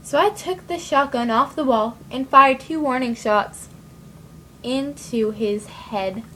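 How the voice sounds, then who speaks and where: A dry, irritated murderess tells her tale flatly, played by a woman. Her voice sits a touch high, with a faint low rumble behind it. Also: slow pace, full character voice. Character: irritated woman who shot her gum-popping man.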